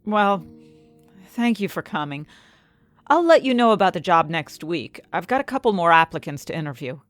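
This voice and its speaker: An earnest, slightly anxious woman chemist in a fantasy audio drama. Her voice sits on the low side and moves around in lively swings, confiding and expressive, with music underneath. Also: moderate pace, no echo. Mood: guarded, intrigued. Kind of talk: first-person scripted narration in fantasy audio drama.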